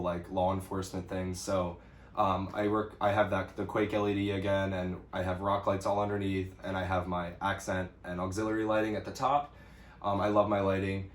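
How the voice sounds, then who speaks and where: A young American man chatting casually as he answers questions for an off-road vlog, easygoing and a bit hesitant. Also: sits low. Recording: roomy echo with some background noise.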